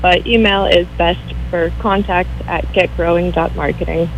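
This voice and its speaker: A woman calling in to a radio show to promote an event, speaking in an upbeat, brisk way. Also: fairly low pitch, steady tone, gravelly, muffled recording, slight background noise. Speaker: event organizer, caller. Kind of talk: phone-in promotion. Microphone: phone line.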